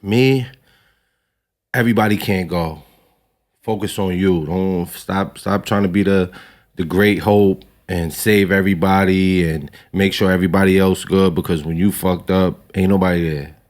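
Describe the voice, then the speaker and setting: A man's voice: deep voice, steady tone, normal speed, darkish tone. A young man from Long Island, a rapper guest, talking casually in slang during an interview. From Long Island, New York. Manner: laid-back, slangy, repeats himself.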